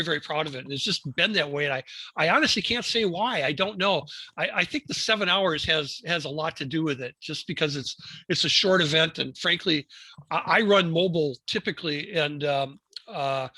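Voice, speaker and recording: Male American club presenter. Slightly higher-pitched, crisp bright tone, hesitant informal delivery with doubled-back phrases. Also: dry recording. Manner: halting, repeats words and phrases, hesitant delivery. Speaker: amateur radio club presenter.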